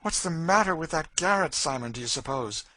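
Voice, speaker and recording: A man voicing a cold, mocking woman who taunts her cruel master, in a slow, sneering, eerie way. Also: a little high, expressive, pushed and bright, dry recording, pitched above the performer's own voice. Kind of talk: audiobook character voice.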